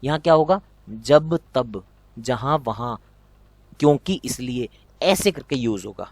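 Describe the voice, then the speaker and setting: A man's voice: mid-range voice, lively intonation, a little slow, no echo. A young man with a Rajasthani accent teaching an exam prep lesson, repeating key points and asking students rhetorical questions.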